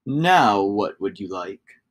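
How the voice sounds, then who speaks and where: A mysterious storybook shapeshifter, played by a man reading aloud, offering to grant wishes. The voice drops low and stretches the words out slowly, obliging and knowing. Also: big pitch swings, full character voice.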